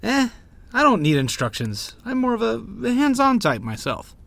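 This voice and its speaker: A man acts a cocky young guy, the joker of his friends in an audio roleplay. His pitch jumps around in a lively way. He is theatrical and plays up being offended. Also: moderate pace, creaky, no echo, full character voice. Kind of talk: interactive audio roleplay acting.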